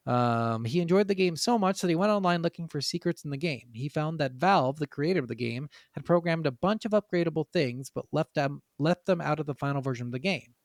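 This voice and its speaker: A man's voice: on the high side, expressive, fairly quick, hardly any pauses, dry recording. A man co-hosting a casual gaming podcast, talking informally and swearing freely. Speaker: podcast co-host.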